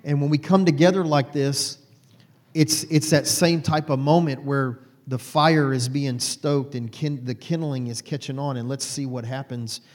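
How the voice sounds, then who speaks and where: A man's voice: mid-range voice, steady tone, unhurried, a little mellow. Rambling preacher man in his forties, echoey hall. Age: 40s. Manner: rambling, self-correcting, many fillers. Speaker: lay preacher. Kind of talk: informal sermon to a small group. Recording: long hall reverb.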